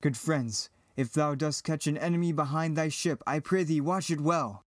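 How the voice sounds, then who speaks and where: A man's voice: a little high, moderate pace, no room sound, clean studio sound, lightly acted. A man playing a brave, earnest young rebel pilot, declaiming Shakespearean verse in an audio drama. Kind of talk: dramatic reading in Elizabethan verse.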